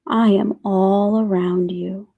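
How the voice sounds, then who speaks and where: A woman voicing a calm, reassuring divine presence in a storybook, soft and soothing. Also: mid-range voice, slow, creaky, phone-quality sound.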